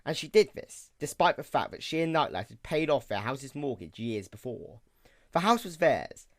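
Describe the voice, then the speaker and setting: A man's voice: medium pitch, no echo, clean studio sound. A man reading scripted narration for an audio drama.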